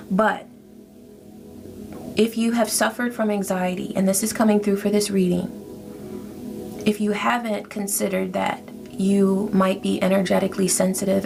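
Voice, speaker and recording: Gravelly-voiced woman reading tarot. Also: mid-range voice. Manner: reassuring, repeats "okay?" often. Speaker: tarot reader. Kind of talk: casual spiritual tarot reading.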